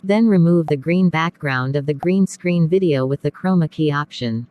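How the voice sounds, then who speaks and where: A narrator reading a scripted step-by-step software tutorial. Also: medium pitch, moderate pace, dry recording, lo-fi sound.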